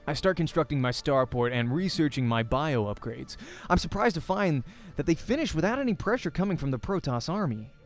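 A young man hosting a let's play, reading a visual novel aloud in the first person with dramatic storytelling. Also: medium pitch.

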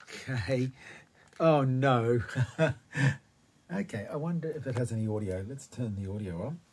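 An Australian man talks casually through a hands-on hobby demo. His medium-pitched voice moves in short, halting phrases. It is captured on a handheld phone indoors, with slight background noise and clicking. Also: a little mellow. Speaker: retro computer hobbyist presenter. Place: small cluttered room indoors.

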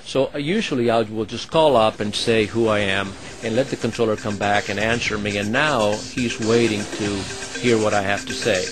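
A man who supervises air traffic controllers and flies, explaining the work in a halting way, with many fillers and restarts. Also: fairly low pitch, steady tone, music in the background. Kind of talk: explaining his work to an interviewer.

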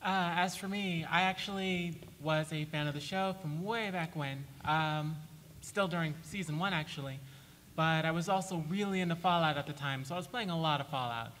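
A man's voice: on the high side, moderate pace, a bit bright. A man casually recounting personal experience as a convention panelist. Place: large convention meeting room, panel table. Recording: reverberant, faintly noisy convention hall.

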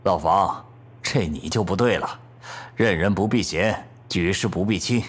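An actor playing a genial, middle-aged senior police officer in an audio drama, teasing and encouraging. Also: male voice, sits low, unhurried. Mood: jovial, chiding. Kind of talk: Chinese audio drama acting.

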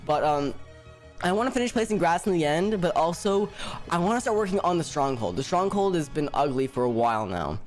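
Young man streaming, lively, stutters. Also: a little high, brisk. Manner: stutters often, repeats words, lively. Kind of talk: casual live-stream chat. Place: home room, indoors. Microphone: headset. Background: music playing.

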